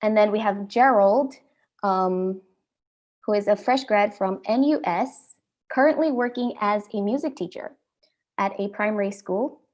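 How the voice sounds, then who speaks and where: A woman's voice: mid-range voice, darkish tone, dull audio. Indian-accented woman hosting a panel. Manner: friendly, measured, with frequent ums. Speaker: host. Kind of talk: hosting an online panel talk.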